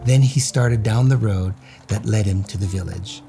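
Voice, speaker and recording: A man's voice: on the low side, moderate pace, gravelly, dark tone, faint music behind. A man reading a children's story aloud as host, in a theatrical, suspenseful way.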